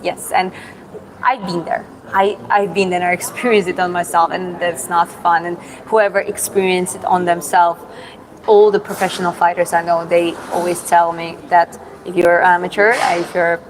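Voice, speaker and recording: Young Georgian woman streamer, lowish voice, halting speech, crowd noise behind. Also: level tone, rough recording. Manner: hesitant, many fillers and restarts. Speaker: livestream host. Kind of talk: casual livestream chat reading comments.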